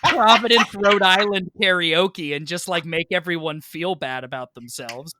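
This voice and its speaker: An American man chatting casually as a podcast guest, telling stories in an emphatic, sweary, animated way. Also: high-pitched, moderate pace, noisy background. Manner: animated, emphatic, profane storyteller. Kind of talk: casual chat, storytelling.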